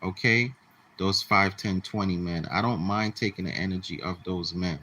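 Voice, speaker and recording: A man close to fifty talks bluntly to his audience, repeating his points like a lecture. His voice sits on the low side but carries a bright, forceful edge. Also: normal speed, a little hiss or hum. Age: 40s. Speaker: channel host. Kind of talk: casual monologue to the camera.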